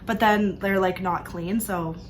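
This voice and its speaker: A woman's voice: mid-range voice, fairly even intonation, unhurried, slight background noise. A young woman with a slightly stuffed-up voice from allergies, chatting casually about books she read, full of "like" and "um". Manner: chatty, upbeat, frequent "like" and "um". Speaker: book vlogger. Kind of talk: casual book review chat. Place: room with open sliding door. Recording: faint outdoor ambience, birds, planes.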